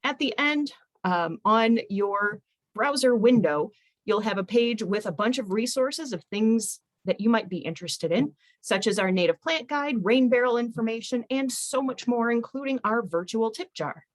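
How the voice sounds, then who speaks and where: A woman's voice: fairly high pitch, lively intonation, normal speed. A woman hosting a webinar, giving upbeat, chatty announcements and introductions. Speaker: webinar host. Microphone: computer mic on a video call.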